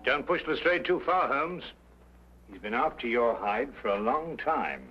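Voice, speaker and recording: An actor playing an earnest, genteel detective's companion who also narrates an old-time mystery drama. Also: male voice, medium pitch, expressive, measured pace, husky. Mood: concerned. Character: earnest gentleman, detective's loyal friend and narrator. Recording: dull old radio recording.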